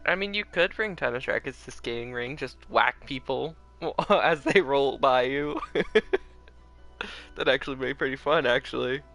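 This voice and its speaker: Bright-voiced man chatting on a game stream. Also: mid-range voice, a little slow, creaky. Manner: giggly, playful, rambling. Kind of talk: casual livestream chat. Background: faint game music.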